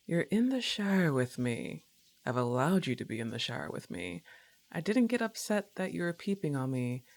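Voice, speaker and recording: Slow, laid-back, teasing goth roommate, acted by a woman. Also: extremely low pitch, exaggerated voice. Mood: teasing, flirty. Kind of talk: intimate ASMR roleplay acting.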